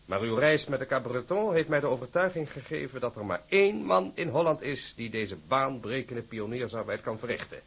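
A man's voice: mid-range voice, lively intonation, brisk, hardly any pauses, a bit bright, slightly rough. An actor playing a formal, effusive and persuasive businessman in a Dutch radio drama. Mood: persuasive, grand. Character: formal, effusive businessman courting a sea captain.

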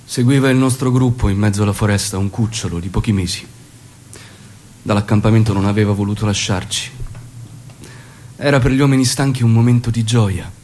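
An actor playing a commanding, shrewd guerrilla leader in a stage drama, speaking in a measured, authoritative way. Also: male voice, on the low side, a little mellow, a little hiss or hum, natural voice. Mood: grave, reflective. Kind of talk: theatrical dramatic acting and monologue.

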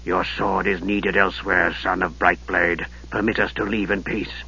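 A man voicing a frail, sardonic old archmage in a soft, low voice that drops to whispers and a slow, cutting delivery, on a dull lo-fi recording. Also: flat delivery, gravelly, slightly rough, exaggerated voice. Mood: cold.

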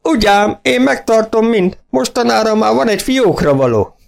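A man voicing a jovial, chubby, boastful farmer who teases loudly and laughs a lot, in a read-aloud story. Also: high-pitched, lively intonation, gravelly, pitched above the performer's own voice. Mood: jovial teasing. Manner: loud, jolly, teasing.

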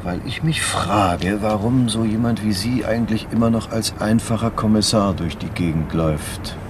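A male actor playing a guarded, sceptical senior public prosecutor in a radio crime drama, measured, formal and dryly challenging. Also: fairly low pitch, background noise, lightly acted. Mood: musing. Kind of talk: naturalistic German radio crime drama acting.

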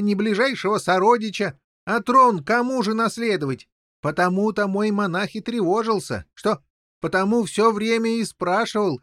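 An excitable, muddled old king, as a male narrator plays him. The voice is high and swoops about, rambling and stumbling through explanations in a storybook reading. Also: dry recording, studio quality, full character voice. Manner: rambling, stammering, tacks on questioning interjections.

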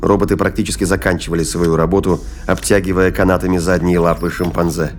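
A male narrator reads a scripted audio drama. His voice sits low in pitch, and quiet music plays beneath it. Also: level tone, fast.

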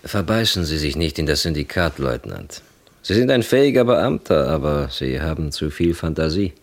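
Bossy careful police chief in radio crime play, low voice, brusque, talks down to people. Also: male voice, sing-song, moderate pace, full character voice. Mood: stern, dismissive. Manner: brusque, patronising. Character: cautious, authoritative male police chief. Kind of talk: German radio crime drama acting.

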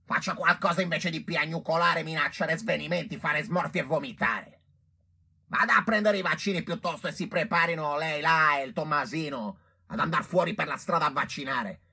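An actor playing a self-important, agitated doctor who runs a public health office, haranguing and cursing in theatrical radio drama style. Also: male voice, on the high side, normal speed, creaky, pushed and bright, slightly rough, exaggerated voice. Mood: irritated, commanding. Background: music.

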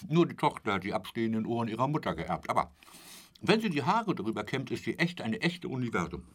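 An actor in a German radio drama playing a garrulous, boastful travelling salesman who rambles chummily and corrects himself, sometimes dropping to a breathy murmur. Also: mid-range voice, sing-song, fairly quick. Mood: chummy, nosy. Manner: chatty, rambling storyteller with a pet polite phrase, correcting himself.

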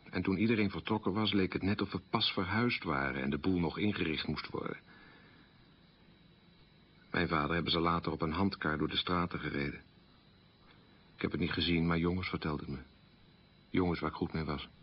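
An actor playing a sombre man recalling an abandoned wartime childhood in short, plain statements, in a low, husky voice for a radio play. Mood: sombre, matter-of-fact. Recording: dull lo-fi radio recording.

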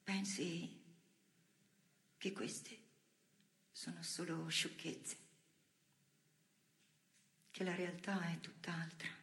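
An actress playing a weary, defiant imprisoned dissident in an intimate theatrical monologue, creaky and breathy, often dropping to a whisper. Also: female voice, fairly low pitch, flat delivery, a bit bright, roomy sound, exaggerated voice. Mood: brooding, bitter. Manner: brooding, drawn-out phrasing.

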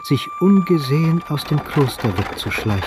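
A man narrating a historical radio play as a grave, measured storyteller. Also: a little high, lively intonation, noisy background, natural voice. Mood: solemn, suspenseful. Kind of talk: scripted dramatic narration in present tense.

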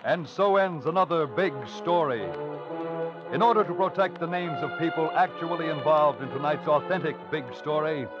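Higher-pitched male radio announcer over loud music. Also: moderate pace. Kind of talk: formal 1940s-50s radio sign-off announcing. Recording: dull old radio recording.

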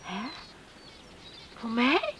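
A loving but timid village girl in a radio play. She speaks slowly in a lightly high voice, affectionate and hesitant. Some faint noise sits behind her on the recording. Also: huge pitch swings, fairly bright tone, exaggerated voice. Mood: tender, delighted. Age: young adult. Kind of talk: Dutch radio play acting.

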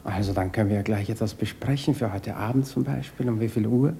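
A man's voice: fairly low pitch, fast talker, gravelly, warm tone, a little hiss or hum. An actor playing a self-assured, flirtatious, condescending male musician in a naturalistic radio drama. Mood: flirtatious, probing. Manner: nonchalant, probing, patronising.

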